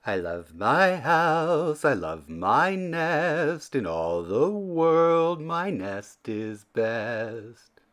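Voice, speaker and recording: A man voicing an eager male bird husband from a children's storybook, earnest and expressive. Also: fairly high pitch, drawn-out words, pitched above the performer's own voice, exaggerated voice. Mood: joyful singing.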